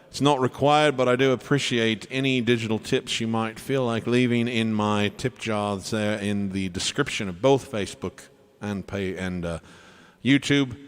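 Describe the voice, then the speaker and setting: A man's voice: mid-range voice, smooth. A man speaking in a put-on British accent, giving casual stage banter to an online audience as a tribute performer.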